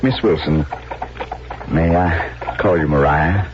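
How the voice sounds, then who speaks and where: An actor in a radio drama playing an earnest, courtly colonel who speaks with formal, hesitant gallantry. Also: male voice, deep voice, slow pace. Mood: smitten, halting. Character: courtly, earnest colonel, a bashful gentleman suitor. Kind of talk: 1970s radio drama acting. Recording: dull vintage radio recording, clear noise.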